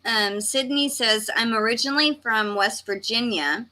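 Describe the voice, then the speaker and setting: A woman's voice: on the high side, moderate pace, sharp tone. A woman from Texas, hosting a livestream and casually reading comments aloud. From Texas, United States. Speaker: livestream host.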